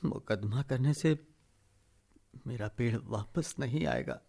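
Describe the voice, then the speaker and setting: A worn-down but principled villager in a radio drama. His mid-range voice moves slowly and swings expressively, earnest and resigned. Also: mid-range voice, big pitch swings, gravelly, warm tone, full character voice. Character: weary, principled wronged village man, quietly resolute. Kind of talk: Hindi radio play acting.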